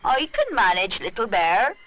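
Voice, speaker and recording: A woman voicing a very old, wise woman who speaks in slow, deliberate warnings. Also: medium pitch, huge pitch swings, fairly bright tone, dull audio, slight background noise. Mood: knowing.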